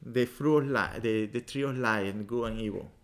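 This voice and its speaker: Earnest man with a Venezuelan accent. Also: mid-range voice. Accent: Venezuelan Spanish. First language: Spanish. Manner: earnest, explaining in halting English with many restarts. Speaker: yoga teacher, podcast guest. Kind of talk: casual podcast chat.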